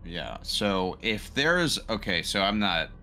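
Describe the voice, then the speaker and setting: A man with a deep, sharp-edged voice chats as a player in a tabletop game. He works through ideas aloud in halting, informal phrases, with faint noise in the background. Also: sing-song. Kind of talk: casual chat.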